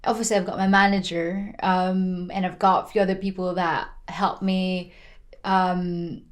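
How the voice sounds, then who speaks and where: A woman's voice: lowish voice, level tone, a little slow. Chatty woman of twenty. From Philippines. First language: Tagalog. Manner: chatty, many "like" and "you know" fillers, laughs often. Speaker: podcast guest. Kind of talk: casual interview chat.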